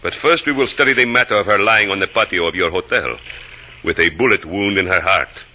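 An actor playing a world-weary, drily menacing police inspector with a Spanish accent and formal, deadpan phrasing, in 1940s radio style. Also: male voice, deep voice, fairly quick, a little hiss or hum, poor audio. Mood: accusing.

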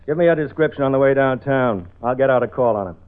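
An actor playing a brisk, businesslike police detective with a curt, probing manner in a vintage radio drama. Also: male voice, medium pitch, close to an everyday voice. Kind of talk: 1940s-50s radio drama acting. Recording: tinny old radio recording, faint music.

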